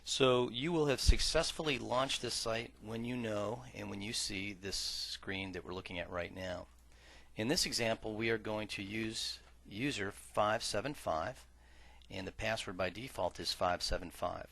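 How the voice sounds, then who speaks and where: A man working as a software trainer, narrating an instructional screen-share tutorial in a measured, explanatory way that stresses key words. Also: lowish voice, gravelly. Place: voice-over for a screen recording.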